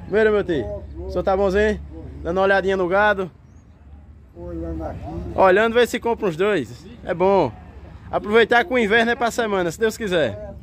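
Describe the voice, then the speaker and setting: A man's voice: sits high, a little fast, long pauses. A man with a Northeastern Brazilian accent from Pernambuco, giving chatty, folksy commentary to viewers as he films outdoors on a phone. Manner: chatty, folksy, repeats phrases. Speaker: livestock fair vlogger. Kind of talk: casual running commentary to viewers with greetings. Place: outdoors at a livestock fair. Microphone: handheld phone behind the camera.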